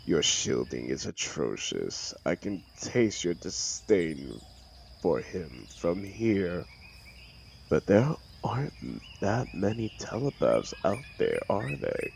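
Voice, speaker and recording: Man playing a very deep, gravelly supervillain. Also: big pitch swings, darkish tone, a little hiss or hum, full character voice. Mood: scornful. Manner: sneering, sarcastic, theatrical, drawn-out delivery. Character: arrogant mocking telepathic male supervillain, threatening and contemptuous. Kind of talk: dramatic audio roleplay monologue.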